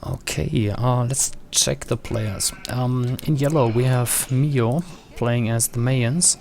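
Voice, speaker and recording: A man's voice: mid-range voice, takes it slowly, gravelly, darkish tone, slight background noise. A man from Germany casting a strategy game match in an easygoing way, with many fillers and agreeing murmurs. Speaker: caster. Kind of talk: esports play-by-play commentary.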